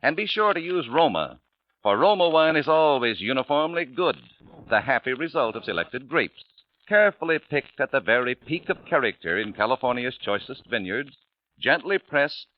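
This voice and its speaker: A man announcing a scripted 1940s radio advertisement in a polished, emphatic, deliberate sales style. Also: medium pitch. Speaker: commercial announcer. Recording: tinny narrowband old radio recording.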